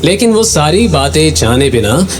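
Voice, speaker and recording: A man's voice: fairly high pitch, sing-song, normal speed, over music. A man narrating an audio drama in a dramatic, scripted storytelling style.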